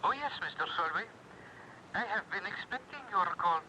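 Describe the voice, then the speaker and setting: High-voiced, serene guru. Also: male voice, lively intonation. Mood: serene, knowing. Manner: polite, measured, soft-pedalled formality. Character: serene, sly Indian guru selling meditation. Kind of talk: radio drama acting. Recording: noisy thin AM radio broadcast.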